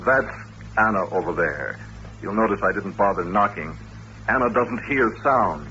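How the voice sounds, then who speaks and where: An actor playing a courteous, patient doctor who runs an institution, explaining things politely and with measure in an old-time radio drama. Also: male voice, on the low side, animated, a little slow. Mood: earnest, explanatory. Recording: dull old radio recording, faint hiss.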